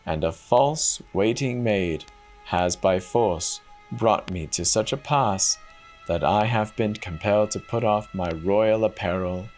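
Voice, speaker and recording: A man reads the part of a sorrowful young princess living as a goose girl. The delivery is slow, solemn and lamenting, in storybook style over soft music. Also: on the low side. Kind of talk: dramatic storybook reading.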